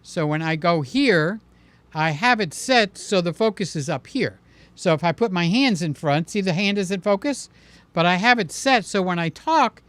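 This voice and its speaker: Man with a smooth, dry voice. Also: high-pitched, a bit bright, no echo. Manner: emphatic, ends points with "okay?". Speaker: live-stream host. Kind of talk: casual live-stream chat answering viewers. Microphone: mic placed in front of him.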